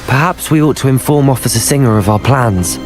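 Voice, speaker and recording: A man's voice: medium pitch, a little fast, close to an everyday voice. A man playing a loyal, reassuring teenage wizard hero in video game dialogue, with music behind him. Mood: resolved. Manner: polite, sincere, reassuring. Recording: music under the voice.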